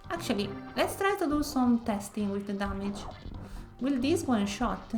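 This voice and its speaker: A woman from northern Italy with an Italian accent, speaking English as a second language, chatting casually while streaming a game and often pausing to search for words. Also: a little high, big pitch swings, faint music behind. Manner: soft-spoken, searching for words with many pauses. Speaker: variety streamer. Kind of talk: casual gameplay commentary.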